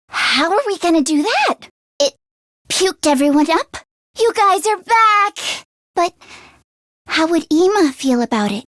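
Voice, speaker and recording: Casting: teenage girl fighter for a video game, very high voice, anxious and earnest, expressive with hesitant stammers, dry studio sound. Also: no echo. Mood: anxious, worried, then eager, excited. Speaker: voice actress.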